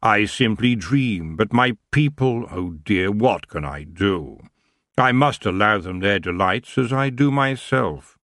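A languid, seductive king of the elves, acted out by a male reader. He drawls very slowly in a low voice, as if everything bores him. The sound is dry and close. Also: expressive, fairly bright tone, dry recording, full character voice.

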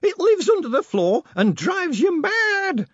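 A man's voice: very high voice, very animated, unhurried, no echo, highs cut off, voice pushed up, full character voice. A man voicing a townswoman in an audiobook who drags out her words with ghoulish relish. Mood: gleeful. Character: ghoulishly pleased, curse-loving ordinary townswoman.

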